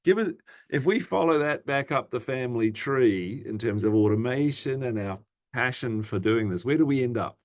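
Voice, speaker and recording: A man's voice: mid-range voice, lively intonation, normal speed. A man moderating an informal panel discussion, introducing questions and prompting speakers conversationally.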